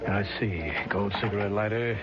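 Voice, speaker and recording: An actor playing an affable but wary nightclub proprietor in a 1970s radio drama, talking in a chummy, colloquial way. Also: male voice, fairly low pitch, normal speed, lightly acted. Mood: obliging. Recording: dull vintage radio recording with music.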